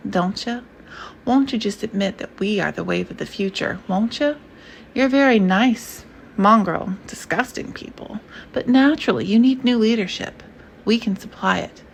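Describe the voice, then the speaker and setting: A woman's voice: mid-range voice, moderate pace, gravelly, slight background noise, lightly acted. A woman voicing a persuasive totalitarian propagandist who talks in an oily, coaxing, sneering way. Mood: menacing.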